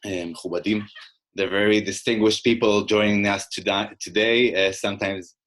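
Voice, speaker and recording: Low-voiced man presenting on a call. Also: fairly even intonation, brisk, a bit bright. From Australia, half. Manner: conversational, many fillers, presenting slides. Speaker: webinar speaker. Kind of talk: presentation over video call. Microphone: video call.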